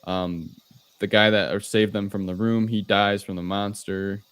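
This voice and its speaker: A man with a deep voice and a smooth, resonant tone. He co-hosts a podcast and talks through a story in a casual, conversational recap. The sound is close and dry. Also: steady tone, measured pace, a bit bright, dry recording. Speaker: podcast co-host. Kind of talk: casual movie recap discussion.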